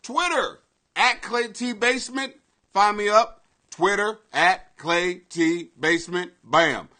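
A man in his forties hosting a casual live webcam show from his home basement, talking loosely with swearing, loud bursts and laughing asides. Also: high-pitched, slow. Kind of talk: casual profane live commentary. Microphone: webcam at desk.

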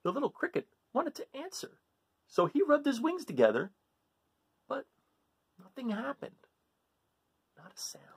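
A middle-aged man reading a children's picture book aloud in a theatrical way, with dramatic pauses and hushed phrases. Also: extremely high pitch, wildly swooping pitch, slightly rough. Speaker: read-aloud storyteller. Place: small living room at home.

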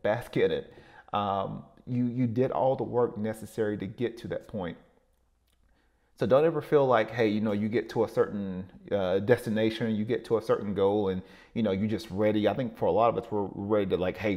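A middle-aged man talks alone into a studio microphone, giving a motivational talk. His voice sits a little low, and he rambles, restarting phrases and filling gaps with "um" and "you know.". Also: darkish tone. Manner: rambling, repeats words, many fillers. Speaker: podcast host.

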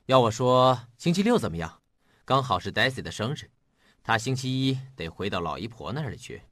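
A man's voice: medium pitch, very animated, brisk, fairly bright tone, dry recording, studio quality. An actor playing a paternal, somewhat uneasy middle-aged husband and father in a radio drama. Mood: casual.

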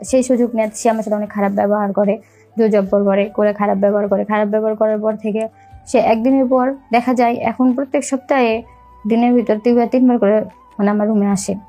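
A young woman with a Bangladeshi accent telling a personal story in a halting, repetitive, colloquial way. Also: on the high side, dark tone. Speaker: storyteller recounting own life. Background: music.

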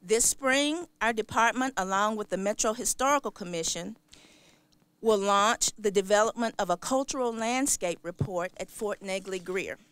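A woman's voice: mid-range voice, normal speed, a bit bright, clean tone, no echo. A middle-aged American woman delivering a scripted public announcement as a department director. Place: open parking lot outdoors. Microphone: podium microphones.

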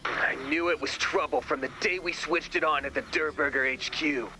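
An actor playing an excitable, gullible, earnest young man in an animated video game, melodramatic in delivery. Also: a little high, moderate pace, noisy background, close to an everyday voice. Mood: indignant.

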